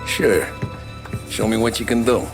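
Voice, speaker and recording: A man's voice: fairly low pitch, steady tone, a little slow, over loud music. A male actor playing a terse, cool-headed American mob soldier in a video game. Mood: businesslike. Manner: terse, plain-spoken.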